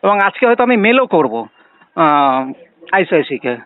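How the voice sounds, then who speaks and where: A man's voice: fairly high pitch, big pitch swings, normal speed, dry recording. A man with a West Bengal Bengali accent arguing insistently on a phone call, questioning and repeating his points. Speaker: bank customer on a debt recovery call. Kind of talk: argumentative phone conversation. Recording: tinny, narrow-band phone line recording.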